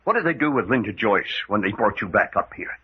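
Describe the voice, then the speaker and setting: An actor playing a resolute, level-headed American leader, serious and clipped, in an old-time radio drama. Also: male voice, lowish voice, big pitch swings, brisk. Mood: brooding. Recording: dull vintage radio recording, faint noise.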